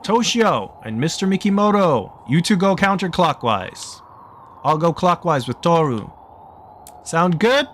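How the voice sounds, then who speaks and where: A man voicing a gruff, commanding man who shouts orders loudly in a visual novel read-aloud, with background noise. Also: fairly high pitch, very animated, unhurried, pitched above the performer's own voice. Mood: urgent, commanding. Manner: loud, projected shouting. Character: gruff, commanding Mister Kobayashi, shouting orders over a snowstorm.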